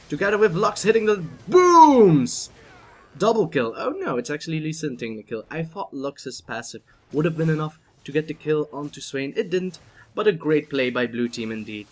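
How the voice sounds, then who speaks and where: A young man doing solo play-by-play commentary on a video game match, chatty and playful, rising to excited shouts on big plays. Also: on the high side.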